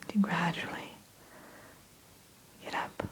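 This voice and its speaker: A woman narrating a guided ASMR story in a soothing whisper, slowly, with deliberate pauses. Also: a bit bright, poor audio. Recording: faint background hiss.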